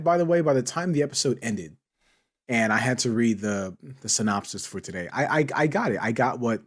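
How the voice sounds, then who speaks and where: A man hosting a casual, joking podcast chat, who talks haltingly, repeats his words and chuckles as he goes. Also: medium pitch. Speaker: podcast host. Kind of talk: casual comedic panel chat.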